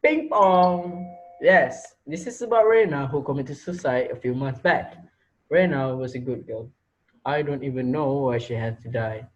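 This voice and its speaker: A man's voice: medium pitch, unhurried, highs cut off, natural voice. Give me a man acting a theatrical, sinister teacher. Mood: menacing, mocking. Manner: theatrical, taunting. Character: sinister male teacher taunting captive students, mockingly playful. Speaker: actor in a student reader's theater. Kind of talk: amateur dramatic reading.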